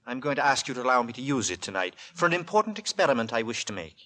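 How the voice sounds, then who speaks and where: An actor playing a quiet, secretive lodger with a hesitant, formal, fussily courteous manner in 1940s radio style. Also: male voice, mid-range voice, sing-song, racing. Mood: hesitant, polite. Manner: hesitant, formal, polite but curt. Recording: vintage 1940s radio drama with music.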